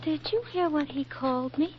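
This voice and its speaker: Actress playing a sassy, high-voiced American dame, 1940s radio. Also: female voice, moderate pace, noisy background, close to an everyday voice. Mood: brisk, cheeky. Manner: sassy, wisecracking. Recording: muffled vintage radio broadcast, music behind.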